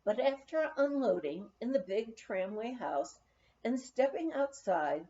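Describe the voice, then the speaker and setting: Female audiobook reader for a memoir: animated intonation, slow expressive delivery, slightly muffled sound. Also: fairly high pitch. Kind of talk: scripted narration of a humorous memoir, read aloud.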